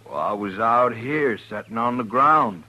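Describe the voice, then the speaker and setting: A man's voice: mid-range voice, measured pace, dull audio, lightly acted. An actor playing a surly, defensive hard-drinking frontier man with a rural American drawl in a western radio drama.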